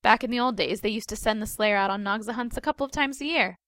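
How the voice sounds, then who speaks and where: A woman's voice: a little high, fast, a bit bright, no echo, clean studio sound, lightly acted. A woman voicing a cocky young female monster fighter in a story reading, breezy and casually teasing. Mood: amused.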